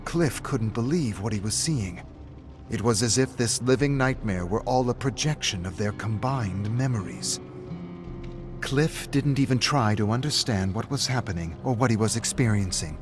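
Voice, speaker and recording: A man narrating a scripted horror story in a measured, deliberate way with dramatic emphasis. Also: lowish voice, animated, music in the background.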